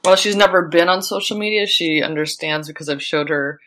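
A woman's voice: deep voice, a little fast, fairly bright tone. A woman in her thirties with a North American accent, chatting casually as an interview guest. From born in Lithuania, grew up in Canada and the United States. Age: 30s. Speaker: podcast guest, digital advertising professional.

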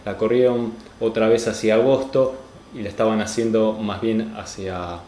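A man's voice: on the low side, fairly even intonation, moderate pace, warm tone, roomy sound, a little hiss or hum. A man with an Argentine accent hosting a podcast, chatting casually about comics. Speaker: podcast host.

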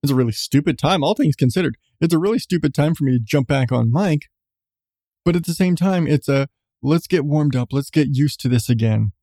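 A middle-aged man hosting his podcast with casual, profane rambling and frequent laughs in a calm voice. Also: on the high side, a little fast, no room sound, studio quality. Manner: profane, candid, laughs often. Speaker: podcast host. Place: seated at home on a creaky chair.

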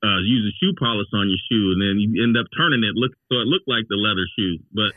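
A man with a low voice talks in a loose, casual podcast style, circling back to rephrase as he goes. The sound is dry and close, with a slightly muffled, lo-fi top end. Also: fast, dry recording. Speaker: sneaker podcast co-host. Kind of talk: casual sneaker podcast chat.

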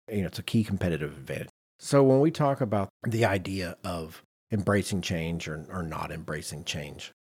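A man's voice: on the low side, a little mellow, breathy edge. A middle-aged man hosting a podcast in casual discussion, acting out little imagined quotes as he explains. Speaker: podcast host.

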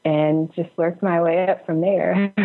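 A dull, lo-fi recording carries a young woman's fairly low voice. She is from Texas and speaks conversationally as a guest being interviewed. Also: steady tone, slow pace, slightly rough. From Houston, Texas. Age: young adult. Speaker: interview guest, actor and director.

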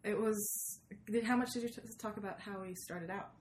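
A woman's voice: mid-range voice, normal speed, darkish tone, a little hiss or hum. A woman from Sweden speaking casually as a podcast co-host being interviewed.

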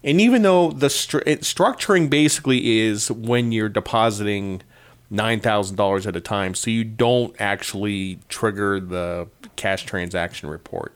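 A middle-aged man from Connecticut hosts his podcast in an easygoing, rambling monologue. His voice sits a little low, and he drifts from one wry anecdote to the next. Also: normal speed, clean tone. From Connecticut, USA. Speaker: podcast host. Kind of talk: casual monologue.

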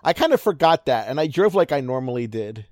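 A man's voice: medium pitch, sing-song, a little fast, darkish tone, dry recording, clean studio sound. A man in his thirties with a nasal voice, a podcast guest telling rambling, self-deprecating stories. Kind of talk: casual chat.

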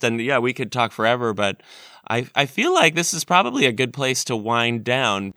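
Bright, clear-voiced man hosting a podcast. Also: medium pitch, dry recording, clean studio sound. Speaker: podcast host. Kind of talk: casual, reflective interview chat.

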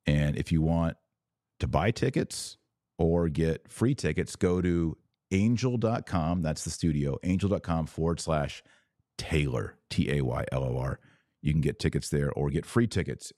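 A man with a low, mellow voice, recorded close and dry. He speaks as a religious commentator hosting his own show. His delivery is emphatic and instructive, laying out points for listeners like a teacher. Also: no room sound. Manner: emphatic, didactic, explaining by analogy.

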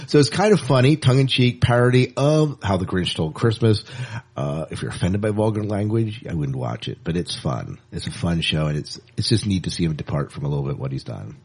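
A man's voice: deep voice, animated, fairly quick, a little mellow, breathy edge. A man co-hosting a podcast, chatting casually and enthusiastically like a fan about entertainment news. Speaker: podcast co-host.

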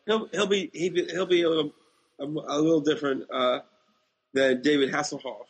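A man's voice: on the high side, steady tone, normal speed, slightly rough. A man co-hosting a casual chat, answering bluntly and briefly with his own opinions. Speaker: podcast co-host.

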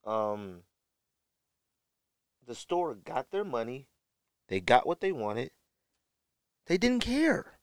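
A man's voice: medium pitch, takes it slowly, pauses often, dry recording, studio quality. An American man hosting a podcast, telling stories casually in slangy speech and acting out what people said. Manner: animated storyteller acting out quotes, slangy. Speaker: podcast host. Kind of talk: casual storytelling podcast talk.

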